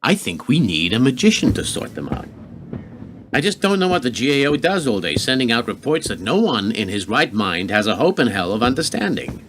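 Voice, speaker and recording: Animated, sardonic actor as male political aide. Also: mid-range voice, brisk, faint music behind. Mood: sardonic grumbling. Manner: sarcastic, grumbling. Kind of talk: radio drama dialogue.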